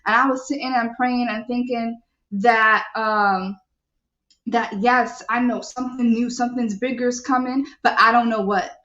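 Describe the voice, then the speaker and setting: A young adult woman hosting a live show, reading viewer comments and asking her listeners questions in an animated, preachy way. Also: on the high side, moderate pace. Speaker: show host. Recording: echoey, dull lo-fi sound.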